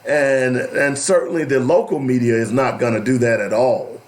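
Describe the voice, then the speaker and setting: A man hosting an opinionated radio talk show, deliberate and emphatic, with a strained, cracking voice that is giving out. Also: mid-range voice, fairly even intonation. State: losing his voice. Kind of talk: opinionated radio talk, partly reading aloud.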